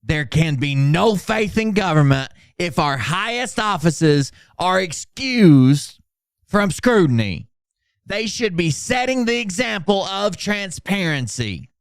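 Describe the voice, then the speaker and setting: Man acting out a pompous male orator, grandiose, declamatory, slow and drawn-out, raised pitch, dry recording. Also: big pitch swings, vocal fry, clear, resonant tone, dry recording, full character voice. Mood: righteous. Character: pompous, self-important male doomsaying orator. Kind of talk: over-dramatic reading.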